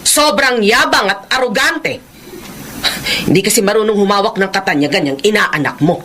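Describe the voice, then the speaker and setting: An actress playing an imperious, hot-tempered film director in a radio drama, haughty and scolding with a Filipino accent. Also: female voice, mid-range voice, normal speed, sharp tone, a little hiss or hum, lightly acted. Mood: worried, complaining.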